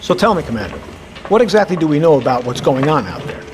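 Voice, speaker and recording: A man's voice: fairly high pitch, very animated, quick pace. An American man playing a commanding, wary Navy submarine captain in an audio drama, with clipped, authoritative military delivery. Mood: guarded, probing. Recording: clear ambient background noise.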